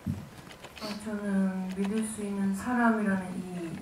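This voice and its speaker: A woman's voice: medium pitch, little pitch movement, slow pace, darkish tone. A woman actress with a Gwangju accent answering at a press conference, hesitant with many fillers. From Gwangju, South Korea. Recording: echoey hall, distant mic, background noise.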